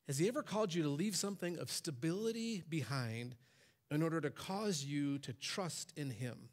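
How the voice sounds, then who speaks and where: A man in his forties, a pastor, preaching from a church stage into a headset mic. His voice sits a little high for a man, and it climbs higher and louder whenever he quotes a command. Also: animated, moderate pace. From Michigan, USA. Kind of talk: sermon.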